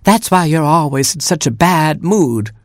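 A man voicing a fussy, quick-tempered mother hen in a storybook, clucking and scolding. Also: fairly high pitch, animated, dry recording, studio quality, voice pushed up. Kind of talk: storybook character voice.

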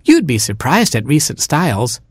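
A man's voice: medium pitch, sing-song, moderate pace, slightly rough, no echo, clean studio sound, voice pushed up. A man voicing a male seal who sells goods from a bobsled, calling out his wares in a sing-song. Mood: breezy. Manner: sing-song street-seller calls. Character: huckstering male seal peddler, cheery salesman.

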